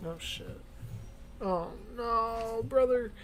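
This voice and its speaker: A man's voice: extremely high pitch, very slow, fairly bright tone, faint music behind, rough recording. A man giving casual gameplay commentary with slangy, boastful talk and plenty of swearing. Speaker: gaming streamer.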